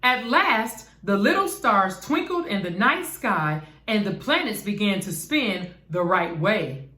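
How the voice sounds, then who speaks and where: A woman reading a children's bedtime story aloud as an expressive narrator. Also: mid-range voice, measured pace. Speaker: storybook reader. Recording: echoey room.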